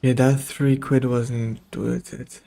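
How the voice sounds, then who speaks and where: Guy streaming a game, kinda slow n gravelly, mumbling little comments to himself. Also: mid-range voice, little pitch movement, warm tone. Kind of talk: casual gameplay commentary.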